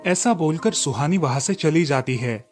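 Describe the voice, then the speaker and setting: Higher-voiced man narrating, soft music behind. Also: animated, moderate pace. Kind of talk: scripted storybook narration for cartoon.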